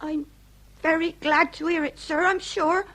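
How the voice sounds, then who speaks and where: An actress playing a melodramatic, talkative working-class Victorian widow in a comic period radio drama, with theatrical, folksy grand speech. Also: female voice, very high-pitched, fairly even intonation, a little slow, a bit bright. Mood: meekly protesting. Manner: theatrical lamenting, folksy mangled grand words.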